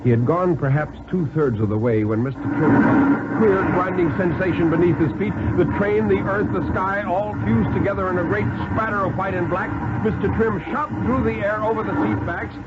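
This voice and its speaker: An American man giving a dramatic, expressive reading of a thriller story for 1950s radio. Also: high-pitched, normal speed, hardly any pauses, very noisy. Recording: narrow 1950s radio, music behind.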